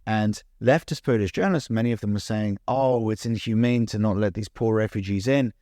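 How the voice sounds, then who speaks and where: Young man, low voice, dry close mic, earnest, quick restarts, interview guest. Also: dark tone, no echo. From United Kingdom. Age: young adult. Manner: earnest, fast, stumbles and restarts. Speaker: podcast guest, social media founder. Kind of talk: interview conversation.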